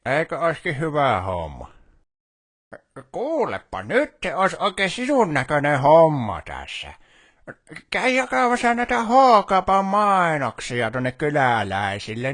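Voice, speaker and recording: Man acting as village shopkeeper, video game, northern Finnish dialect, folksy, teasing, grumbly, lively pitch, dry sound. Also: no room sound, exaggerated voice. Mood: coaxing. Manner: folksy, drawling, hesitant stammered starts. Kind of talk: video game character dialogue.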